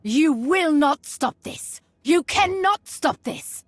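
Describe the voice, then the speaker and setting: An actress playing an imperious, zealous cultist villainess in a video game, defiant and contemptuous. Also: female voice, high voice, expressive, takes it slowly, no room sound. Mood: furious defiance. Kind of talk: dramatic villain game acting.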